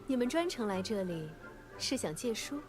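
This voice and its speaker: A languid, flirty female librarian with a higher, very animated voice. She coaxes and teases in anime game style over clearly audible music. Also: normal speed. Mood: playful, teasing. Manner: playful, coaxing. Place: studio game dialogue with music behind.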